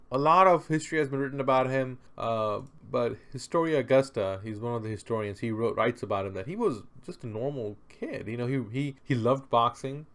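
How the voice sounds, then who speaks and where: Guy explaining history and philosophy off the cuff, mid pitched voice, really stresses his points. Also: a bit bright. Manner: emphatic, asks rhetorical questions and repeats phrases for stress. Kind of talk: conversational explanatory lecture, unscripted.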